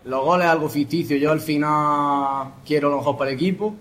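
A young man, a footballer, answering post-match interview questions in a modest, halting way. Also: fairly high pitch, fairly bright tone. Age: young adult. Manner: halting, modest, pausing to restart.

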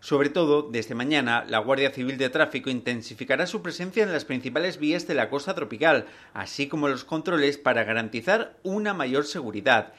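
A man's voice: mid-range voice, lively intonation, a little fast, hardly any pauses, fairly bright tone. Man reading traffic news. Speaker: news anchor.

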